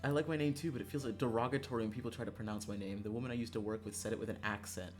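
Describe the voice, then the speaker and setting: A livestreamer chatting casually to viewers in an animated, exasperated way, swearing and laughing often. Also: mid-range voice, racing, faint music behind. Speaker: livestreamer, gaming streamer.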